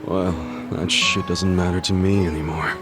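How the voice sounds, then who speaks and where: An actor voicing a blunt, earnest middle-aged ex-yakuza in a video game, plain-spoken and guarded. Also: male voice, sits low, little pitch movement, moderate pace, lightly acted. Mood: somber. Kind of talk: video game cutscene voice acting. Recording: dramatic music behind the voice. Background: loud background music.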